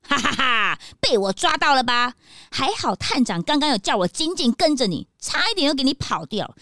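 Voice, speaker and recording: A woman's voice: high-pitched, no room sound, clean studio sound. A woman voicing an eager, proud young raccoon helper with a childlike voice in a children's audio drama. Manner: excitable, indignant, boastful.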